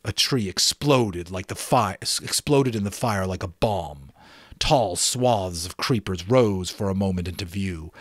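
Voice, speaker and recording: A man reading a novel dramatically as an amateur narrator and host, expressive and reflective. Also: deep voice, slightly rough, no room sound, studio quality. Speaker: amateur audiobook reader and channel host. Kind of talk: dramatic narration with casual commentary.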